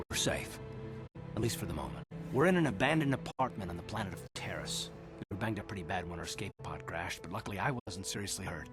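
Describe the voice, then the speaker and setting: An actor playing a resolute, weary male Republic soldier, speaking earnestly in a dramatic video game style, explaining with some urgency. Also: low-pitched. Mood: calm, reassuring.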